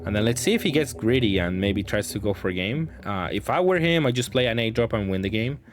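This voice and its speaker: A man's voice: fairly low pitch, expressive, brisk. Man thinking aloud over gameplay, music behind. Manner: thinking aloud, hesitant, self-correcting. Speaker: gaming content creator commentating matches. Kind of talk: casual gameplay commentary.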